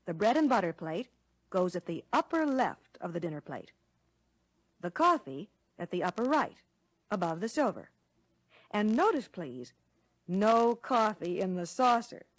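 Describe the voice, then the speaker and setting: A woman playing a courteous American lunch counter waitress, explaining service clearly in a scripted 1960 training film. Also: medium pitch, big pitch swings, measured pace, no echo. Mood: polite, instructive. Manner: courteous, instructive, clearly worded.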